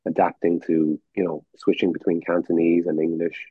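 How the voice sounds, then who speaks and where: A man with an Irish accent tells stories at an easy pace, stumbling and repeating himself as he goes. His voice is low and husky. It comes through a thin, dry, phone-like video call line. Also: level tone, normal speed, no echo. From Ireland. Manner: easygoing storyteller, stumbles and repeats words. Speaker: guest. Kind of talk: casual interview chat. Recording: tinny dry call audio.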